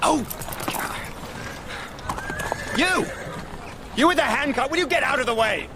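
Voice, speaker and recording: An actor playing a distraught, domineering Edwardian English husband in a period radio drama, agitated, stammering and imperious. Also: male voice, very high voice, lively intonation, brisk, background noise. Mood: irritated.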